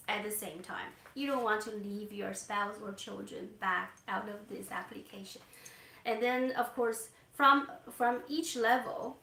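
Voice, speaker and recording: A soft-spoken woman who works as an immigration consultant, explaining steps gently with hesitant fillers. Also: mid-range voice, reverberant, a little hiss or hum, low-quality recording. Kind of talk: explaining to a live audience. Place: Canada.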